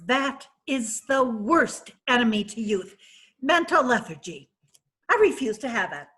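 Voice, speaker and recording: A woman plays a rich, well-traveled lady pleased with herself and her fiancé. She talks in a fairly high, chatty, self-satisfied way. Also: lively intonation, unhurried, a bit bright, husky, exaggerated voice. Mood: boastful. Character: wealthy worldly woman proud of her fiancé. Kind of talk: readers theatre play reading.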